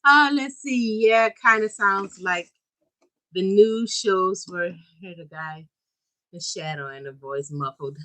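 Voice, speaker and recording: A woman's voice: mid-range voice, slow pace, bright, forceful tone. A chatty woman co-hosting a casual livestream, greeting viewers by name, recorded on a camera-mounted mic in a home toy museum room.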